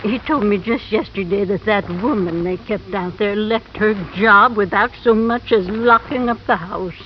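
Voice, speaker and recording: A woman's voice: mid-range voice, normal speed, close to an everyday voice. A woman playing a chatty, fretful older small-town woman, sympathetic and confiding, in 1950s radio drama style. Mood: gossipy, helpful. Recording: muffled, noisy vintage radio recording.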